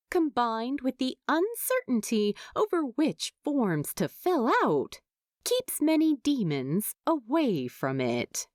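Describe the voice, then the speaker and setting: A woman reading a story aloud as an expressive, wry narrator. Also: medium pitch, no echo, clean studio sound. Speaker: fan fiction narrator.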